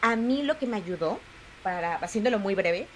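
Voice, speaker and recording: A woman in her thirties hosting a casual podcast chat and telling her own story in a lively, animated way. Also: mid-range voice, normal speed, slight background noise. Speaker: podcast host.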